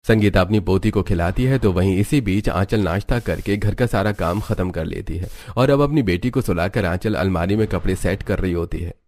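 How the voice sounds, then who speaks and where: Guy narrating a story off a script, voice on the low side. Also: fairly quick, few pauses, darkish tone, no echo.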